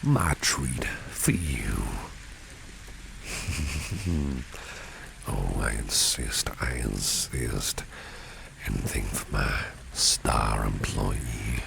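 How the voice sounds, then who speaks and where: A man playing a suave, protective businessman boss with a menacing streak in an ASMR roleplay, speaking in a gravelly, intimate half-whisper with courteous insistence. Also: a bass voice, expressive, full character voice. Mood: caring, courting. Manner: unhurried, courteous, flirtatiously insistent, repeats phrases. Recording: clear background noise with rain ambience.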